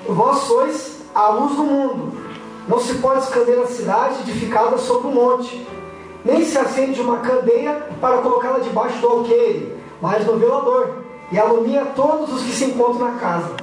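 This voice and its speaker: A hoarse man with a Brazilian accent who has a cold, preaching to young people at church in a casual, slangy way. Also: very high-pitched, normal speed, soft background music. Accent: Brazilian Portuguese. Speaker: youth preacher. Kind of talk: youth sermon. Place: church hall. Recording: echoey church from far off.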